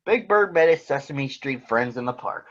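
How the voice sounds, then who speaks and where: A man's voice: mid-range voice, expressive, moderate pace, dull audio. A man reading a picture book aloud to children. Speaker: children's story reader.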